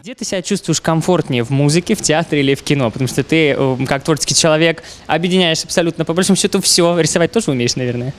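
A man's voice: on the high side, fast, hardly any pauses, music in the background. A young man working as a TV interviewer, asking questions in a conversational way. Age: young adult. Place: lounge at an event, indoors. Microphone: handheld interview microphone.

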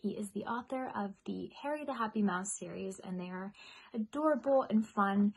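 A young American woman speaking in a friendly storybook-reading style, with a husky voice. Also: mid-range voice, normal speed, a little mellow. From United States. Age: young adult. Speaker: singer-songwriter reading a children's story.

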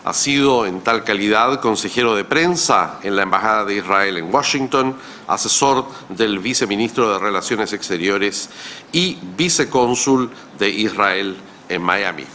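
Slow, bright-voiced middle-aged man moderating. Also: fairly low pitch, a little hiss or hum. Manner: measured, ceremonious delivery with pauses. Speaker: panel moderator. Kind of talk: formal introduction speech. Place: conference hall, seated at panel table. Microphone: gooseneck table mic.

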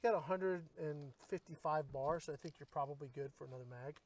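A middle-aged man hosting, explaining casually in relaxed talk at an outdoor shooting range, recorded on a camera mic outdoors. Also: on the high side, fast talker. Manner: easygoing, explanatory. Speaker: host.

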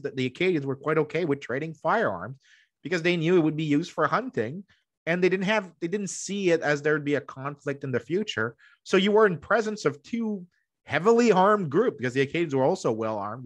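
A man's voice: medium pitch, a bit bright. Man explaining emphatically on a podcast. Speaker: podcast guest, economic history scholar. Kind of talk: explanatory interview talk. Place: small room at home, indoors. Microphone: desk microphone close up, video call.

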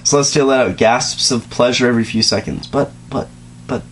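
A man's voice: medium pitch, a little fast. Young man reading aloud, noisy recording. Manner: stumbles over words and breaks off to comment. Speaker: reader. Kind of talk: informal dramatic reading of fan fiction with casual asides. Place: small dim room indoors, on a couch. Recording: noisy home recording with hum.

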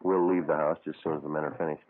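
A deep deadpan american cop, clipped and businesslike, muffled old radio recording. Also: male voice, very fast, slightly rough. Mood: firm, unmoved. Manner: clipped, deadpan, matter-of-fact. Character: terse, businesslike male police sergeant who also narrates. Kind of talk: old-time radio police drama. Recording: muffled tinny vintage radio.

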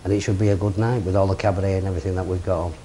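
A man's voice: low-pitched, level tone, racing, mellow, slight background noise, poor audio. A middle-aged man, a boxing promoter talking plainly in an office interview. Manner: plain-spoken, rambling lists. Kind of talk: seated interview. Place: office with framed memorabilia. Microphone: lapel.

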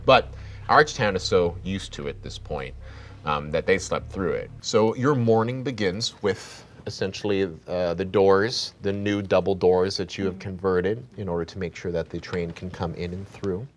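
A man's voice: deep voice, normal speed. A man in his thirties narrating a tabletop game as game master, in a loose, meandering way with fillers, at a table on a studio set.

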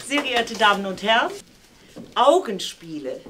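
An older woman delivering a measured, formal opening speech about the artists at an exhibition. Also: on the high side, animated, background noise. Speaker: opening speaker. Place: gallery, indoors.

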